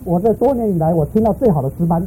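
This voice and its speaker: Man, sermon interpreter, very fast, high-pitched, very dark mellow tone. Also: lively intonation, a little hiss or hum, rough recording. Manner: quick, eager rendering of each phrase.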